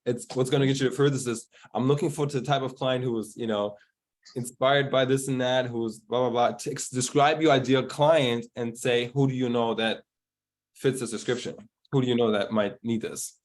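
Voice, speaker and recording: An upbeat man hosts a group coaching session over a video call. He talks casually and hypes up the people he introduces, in a voice of ordinary pitch. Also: steady tone, moderate pace. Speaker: host of online group call.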